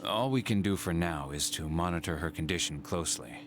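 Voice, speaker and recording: An actor playing a serious hospital doctor in a video game, explaining things in a careful, measured manner. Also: male voice, low voice, noisy background, close to an everyday voice. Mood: grave.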